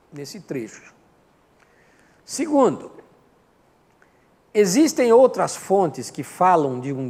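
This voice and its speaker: An older man with a Brazilian accent speaks as a Sunday school teacher. His voice sits a little high for a man. He explains in an informal, didactic way, asking questions and answering them, and long reverb from the hall surrounds his words. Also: a little mellow. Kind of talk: informal Bible class lecture.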